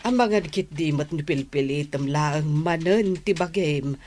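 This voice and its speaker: A woman's voice: sits low, unhurried, darkish tone, a little hiss or hum. An actress playing a concerned, lamenting middle-aged mother with an Ilocano accent in a radio drama. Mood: pitying.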